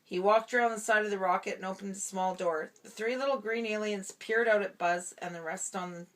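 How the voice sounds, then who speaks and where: A woman's voice: medium pitch, a little fast, fairly bright tone, rough recording. Woman, mid-range voice, storybook read-aloud to a young child with chatty asides. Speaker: parent reading a storybook to a toddler.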